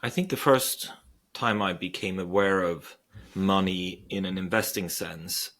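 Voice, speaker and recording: A man's voice: sits low, unhurried, fairly bright tone. A man in his forties from Sweden, a guest investor answering questions thoughtfully, with frequent hesitations and restarts. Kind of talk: interview.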